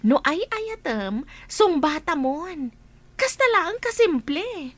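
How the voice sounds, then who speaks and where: A woman's voice: sits high, very animated, slow pace, a bit bright, no echo, heavily acted. A woman playing a mischievous, teasing young female best friend in a Filipino radio drama. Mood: playful, teasing.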